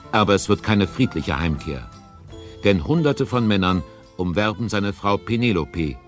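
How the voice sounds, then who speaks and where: Deep-voiced male storyteller narration. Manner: measured, storytelling. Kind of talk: scripted audiobook trailer narration.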